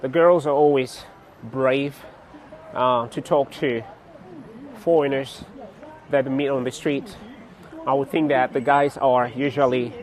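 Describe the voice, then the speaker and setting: A young man from Cameroon chatting casually to his vlog viewers outdoors on a city street, laughing often and pausing with fillers. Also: mid-range voice, fairly even intonation, low-quality recording. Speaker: vlogger. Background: faint street traffic.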